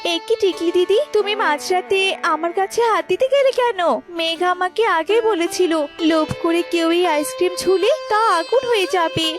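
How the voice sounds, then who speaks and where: A woman voicing a kind, forgiving mother songbird in a children's cartoon, soothing and gently moralizing. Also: extremely high pitch, normal speed, few pauses, soft background music. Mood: concerned.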